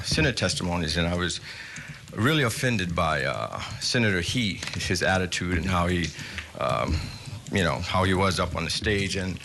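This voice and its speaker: A middle-aged man giving public testimony at a hearing, indignant and earnest, with halting fillers. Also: sits low, bright, forceful tone, a little hiss or hum.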